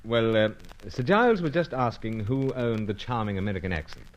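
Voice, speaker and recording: An actor playing a suave, gentlemanly secret agent in an old-time radio adventure serial. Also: male voice, on the low side, animated, a little fast, lo-fi sound, slight background noise, natural voice. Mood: charming. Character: suave, self-assured male British secret agent narrating his adventure.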